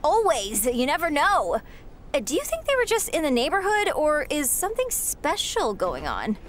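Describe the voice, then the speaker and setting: An actress voicing a starstruck young female wrestling fan who gushes with giddy excitement. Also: high-pitched, normal speed, a little hiss or hum. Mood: excited. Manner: giddy, gushing, breathless excitement.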